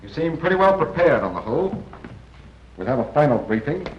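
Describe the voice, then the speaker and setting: A man playing a seasoned, assured intelligence briefing officer in a 1940s training film, giving measured, plain-spoken advice. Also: a little high, very animated, slight background noise. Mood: assured, advising. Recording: muffled 1940s film soundtrack.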